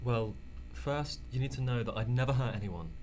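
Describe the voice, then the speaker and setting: A man's voice: mid-range voice, moderate pace, darkish tone, slight background noise, natural voice. A man playing a hesitant, defensive murder suspect. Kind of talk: amateur radio drama acting.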